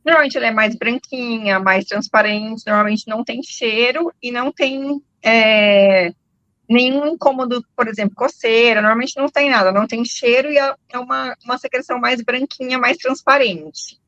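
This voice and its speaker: A woman doctor with a fairly high voice explains patiently, tagging her phrases as she goes. Her video-call audio is dull and dry, without much room sound. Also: moderate pace, fairly bright tone, dry recording. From São Paulo state, Brazil. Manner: explanatory, frequent "né" tags. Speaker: gynecologist guest. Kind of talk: explaining medical topic.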